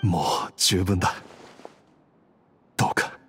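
An actor playing a gentle, dying father in a Japanese video game, speaking in a solemn, wistful, halting way. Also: faint music behind. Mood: pleading, resigned. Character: dying gentle father, weary, devoted to his family.

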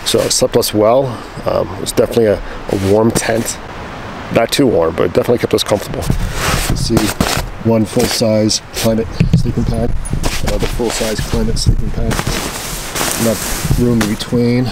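Low-voiced man, gravelly, casual outdoor vlog. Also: steady tone, moderate pace. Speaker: camping vlogger reviewing gear. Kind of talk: casual unscripted gear review to camera. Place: outdoors at a forest campsite, inside and beside a tent. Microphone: handheld camera at arm's length. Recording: outdoor camera audio with background noise, clicks.

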